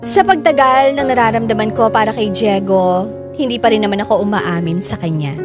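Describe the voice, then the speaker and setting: A woman's voice: medium pitch, natural voice. A woman playing a conflicted, lovestruck teacher who narrates her confession in a radio drama. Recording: music behind the voice.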